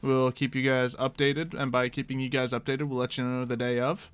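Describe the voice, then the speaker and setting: A man's voice: mid-range voice, flat delivery, a little fast, smooth. A man hosting a tabletop game stream as game master, chatting casually and jokily. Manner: jokey, laughs often.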